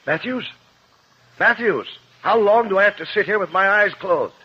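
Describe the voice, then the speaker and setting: Theatrical, needling villain for vintage radio crime play; lively intonation, husky edge. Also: male voice, high voice, normal speed, heavily acted. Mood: alarmed, demanding. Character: sneering, bullying male villain, wealthy and mocking. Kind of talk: 1950s radio crime drama acting. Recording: muffled old 1950s radio recording.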